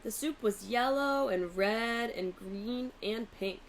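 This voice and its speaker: A woman narrating a children's storybook aloud in an expressive, deliberate way. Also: fairly high pitch, a little hiss or hum. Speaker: teacher reading a picture book aloud.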